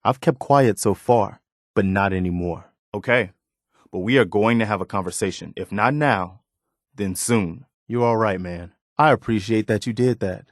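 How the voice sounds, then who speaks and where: Low-voiced, earnest militia soldier, acted by a man. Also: no room sound, clean studio sound, close to an everyday voice. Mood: firm, pleading. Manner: sincere, plain-spoken, measured. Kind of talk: video game companion dialogue.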